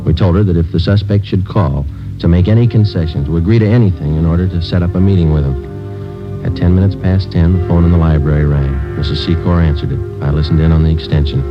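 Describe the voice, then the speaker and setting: A man playing a deadpan, very deep police sergeant. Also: natural voice. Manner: clipped, flat, matter-of-fact. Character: terse, deadpan male police sergeant narrating. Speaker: detective narrator. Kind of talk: 1950s radio drama acting. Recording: dull old radio broadcast with music.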